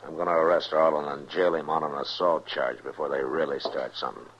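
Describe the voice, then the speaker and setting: A man's voice: fairly even intonation, brisk. A man with an American accent playing a stern, unafraid frontier marshal, terse and authoritative, in an old-time radio western. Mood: terse, steady. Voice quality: deep. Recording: noisy, muffled old radio recording.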